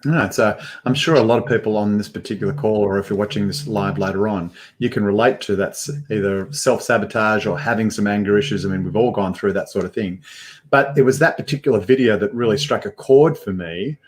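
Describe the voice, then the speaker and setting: A man's voice: on the low side, brisk. An older man hosting a live video call interview from an indoor studio, talking in an upbeat presenter manner with frequent fillers. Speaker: host. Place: indoor studio with banner backdrop. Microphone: headphones with mic, webcam framing.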